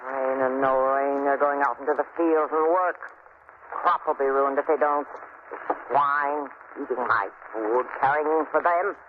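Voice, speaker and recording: A woman's voice: very deep voice, steady tone, measured pace. A woman playing a cruel, commanding plantation mistress in an old radio horror drama, imperious in manner. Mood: bitter, menacing. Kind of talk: 1940s radio horror acting. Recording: scratchy, tinny, noisy old radio.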